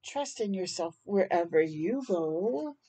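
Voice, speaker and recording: Older female storytime reader, soft mellow tone, unhurried pace, deliberate dramatic delivery for young children. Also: fairly low pitch, animated. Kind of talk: read-aloud of a rhyming picture book with brief asides. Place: outdoors on a porch.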